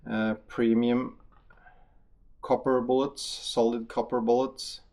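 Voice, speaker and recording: A man with a Norwegian accent, a native Norwegian speaker, giving a halting, matter-of-fact casual review of hunting bullets. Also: medium pitch, little pitch movement, a little mellow. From Norway. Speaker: hunter testing bullets.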